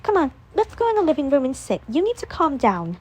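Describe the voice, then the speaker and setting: A woman voicing a brash, dismissive young woman who talks fast and flippantly. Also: high voice, wildly swooping pitch, voice pushed up. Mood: soothing. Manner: sharp, flippant, quick.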